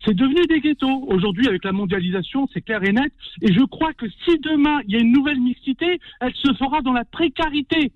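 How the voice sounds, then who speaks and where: A man's voice: extremely high pitch, quick pace, hardly any pauses, dry recording. A man calling in to a radio debate, apologetic but firm, often repeating his words, heard over a phone line. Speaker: radio caller. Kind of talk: call-in opinion.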